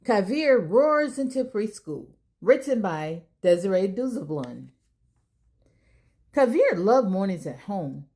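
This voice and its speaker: A woman hosting a children's storytime, reading aloud in an expressive way as if talking straight to young listeners. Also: mid-range voice, takes it slowly. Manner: expressive, addressing children directly. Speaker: storytime reader and host. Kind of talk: children's read-aloud narration.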